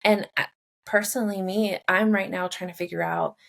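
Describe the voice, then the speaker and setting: A young woman from Mexico speaks as a therapist guest, her voice sitting low with a gravelly fry at the ends of phrases. She talks earnestly and reflectively, leaning on "like" and "you know" as she works through her thoughts. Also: fairly even intonation, moderate pace, fairly bright tone. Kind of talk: conversational interview answers.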